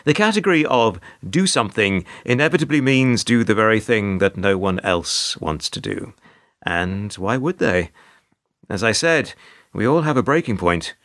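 Man acting a wry, weary hotel receptionist, low-pitched. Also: lively intonation, normal speed, a bit bright, no room sound, clean studio sound. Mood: resigned, wry. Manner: wry, resigned, long-winded and formal. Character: world-weary, put-upon male hotel receptionist narrating his troubles. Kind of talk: radio play monologue and dialogue.

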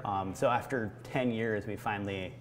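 Halting, low-voiced American man, thoughtful. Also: steady tone, faint music behind. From Vermont, United States. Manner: halting, thoughtful, searching for words. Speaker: brewery founder being interviewed. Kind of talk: reflective interview answers. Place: indoor barrel room of a brewery. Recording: noticeable background room noise.

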